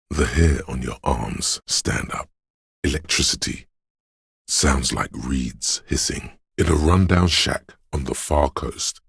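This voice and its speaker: Brooding mystical game narrator, extremely deep, heavily husky, solemn, ominous, poetic. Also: male voice, dry recording, studio quality, exaggerated voice. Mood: ominous, hushed. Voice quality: gravelly, husky. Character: brooding, mystical male inner voice sensing the city. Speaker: game narrator voicing an inner sense. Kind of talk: scripted literary game narration.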